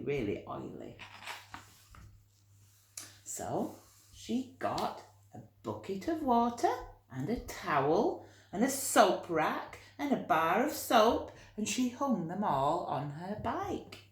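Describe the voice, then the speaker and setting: Expressive low-voiced woman storyteller, echoey room. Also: takes it slowly. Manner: expressive, gentle explaining for young children. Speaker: storyteller reading a picture book to children. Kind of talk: animated read-aloud storytelling with chatty asides. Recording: roomy, echoey room sound.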